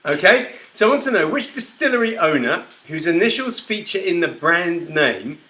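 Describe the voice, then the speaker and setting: A man's voice: sits high, sing-song, normal speed, bright, forceful tone. Chatty man hosting a live whisky show. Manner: chatty, reads viewer comments aloud. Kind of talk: casual live-stream chat.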